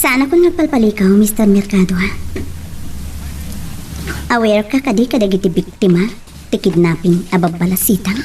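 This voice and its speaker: A woman's voice: mid-range voice, animated, moderate pace, long pauses, a little mellow, close to an everyday voice. A woman acting an earnest, pressing woman mayor in an Ilocano radio love drama. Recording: somewhat distant mic, faint noise.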